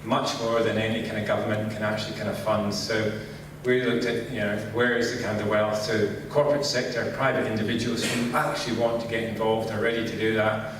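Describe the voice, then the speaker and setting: A middle-aged man from Scotland with a Scottish accent, giving a relaxed conference talk and saying "kind of" constantly. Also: fairly low pitch, a little fast, noisy background. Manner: relaxed, filler-heavy, says "kind of" constantly. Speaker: conference speaker. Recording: echoey hall, distant mic.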